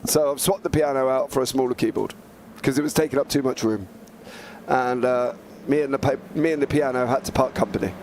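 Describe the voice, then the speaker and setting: A man, a sim racing commentator, hoarse and croaky from a recent flu, chatting and calling live race action with excited play-by-play. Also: mid-range voice, brisk, slight background noise.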